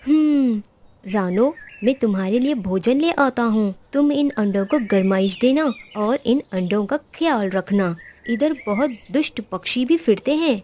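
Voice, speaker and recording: A woman voicing a gentle, protective male sparrow, tender and earnest, in a children's cartoon. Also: a little high, lively intonation, unhurried, slight background noise. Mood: tender, caring. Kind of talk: Hindi children's cartoon dialogue.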